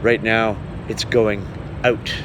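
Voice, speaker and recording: A man's voice: fairly low pitch, little pitch movement. A man talking casually and conversationally to viewers, with wry understatement, as he records a travel vlog outdoors. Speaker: vlogging touring musician. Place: outdoors beside river falls. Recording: outdoor noise, traffic and rushing falls.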